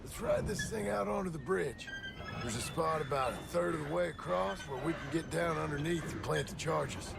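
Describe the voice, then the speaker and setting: An actor playing a rough, restless younger outlaw in a video game, with a gravelly voice and a rural American drawl. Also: male voice, fairly high pitch, moderate pace, music in the background. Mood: gruff, businesslike. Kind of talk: dramatic video game cutscene acting.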